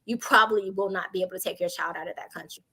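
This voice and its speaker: An American woman giving candid conversational advice on a panel, animated and informal, illustrating points with anecdotes. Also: lowish voice, racing, creaky, slightly rough. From Chicago, United States.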